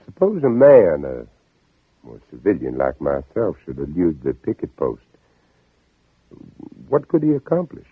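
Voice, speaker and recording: Low, brooding radio-drama Southern gentleman. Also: huge pitch swings, measured pace, pauses often, husky, no room sound, exaggerated voice. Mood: courteous, probing. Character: anguished Southern gentleman planter, narrating his own ordeal. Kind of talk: 1940s-50s radio drama acting. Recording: old radio, dull narrow band, music behind.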